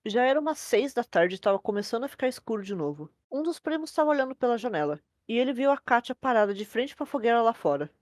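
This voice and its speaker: Fast-talking Brazilian woman narrating, dry sound. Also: on the high side, a little mellow, no room sound. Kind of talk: informal horror storytelling narration.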